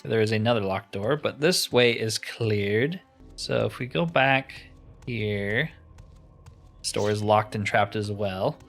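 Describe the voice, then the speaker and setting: A man's voice: lowish voice, slow pace, gravelly, fairly bright tone. Man musing aloud over game music. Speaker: gamer narrating his playthrough. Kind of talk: casual let's-play commentary.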